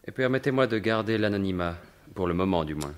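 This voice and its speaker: Deep-voiced, self-assured gentleman thief. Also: lively intonation, quick pace. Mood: probing, grave. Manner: assured, articulate, formal phrasing. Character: confident gentleman thief turned investigator, wry and probing. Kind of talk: French radio mystery drama acting in 1950s manner.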